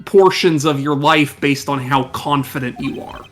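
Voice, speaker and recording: A streamer giving casual joking commentary while playing a game, wisecracking and animated. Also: mid-range voice, faint music behind. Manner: wisecracking, animated, slips into quick asides.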